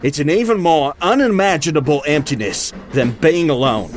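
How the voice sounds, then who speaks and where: A man's voice: expressive, moderate pace, lightly acted. A man playing a thoughtful, questioning steam train crewman in an audio drama, speaking in a curious, reflective way. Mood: earnest, wistful. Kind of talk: amateur audio drama acting. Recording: noisy rumbling train background.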